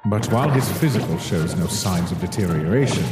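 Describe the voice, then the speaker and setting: A cold monster scientist with a deep voice, condescending and precise in every phrase. He sounds like a disdainful genius in a dramatic comic dub. His words ring in long reverb over clearly audible music. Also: animated. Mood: clinical, uneasy. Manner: condescending, deliberate, formal. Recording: echoey hall reverb, music behind.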